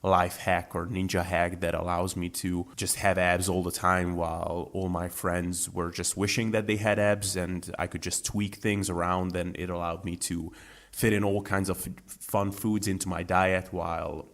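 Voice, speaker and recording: A man who is a guest on a podcast, talking about his own experience in a relaxed, chatty way with fillers and restarts. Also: sits low, normal speed. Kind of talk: casual interview talk.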